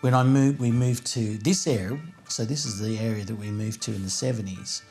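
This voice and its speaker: A man's voice: lowish voice, fairly even intonation, a little fast, over music. A man in his fifties whose first language is Greek, thoughtfully recalling things in an interview. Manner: thoughtful, reminiscing. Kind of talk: reflective interview.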